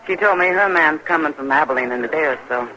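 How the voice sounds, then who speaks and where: An actress playing a worldly, wry saloon keeper in an old-time radio western, speaking knowingly. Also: female voice, low-pitched, quick pace, a crowd in the background, natural voice, poor audio. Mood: friendly, knowing. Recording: old radio recording, faint noise.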